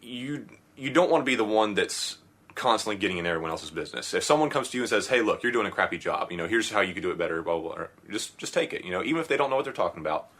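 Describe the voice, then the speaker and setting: A man with a lowish voice co-hosts a casual podcast. He talks through advice in an easygoing way and fills his sentences with "you know". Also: lively intonation, very fast, fairly bright tone. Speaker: podcast co-host.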